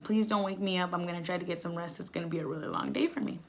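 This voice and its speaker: A woman's voice: lowish voice, rapid-fire, slight background noise. A young woman vlogger telling a personal story in casual, candid, slangy speech with swearing, acting out past conversations as she goes.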